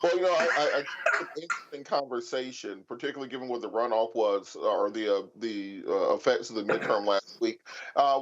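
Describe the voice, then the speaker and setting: A man speaking as a panelist, giving emphatic, off-the-cuff political commentary and arguing his points. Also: medium pitch. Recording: dull, lo-fi remote feed.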